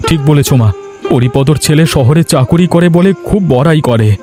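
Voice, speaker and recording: A man acting an earnest, high-voiced village son. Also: moderate pace, mellow, natural voice. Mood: bitter. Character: anguished, devoted young village son, a poor farmer. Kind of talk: melodramatic cartoon dialogue. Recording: music behind the voice. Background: background music.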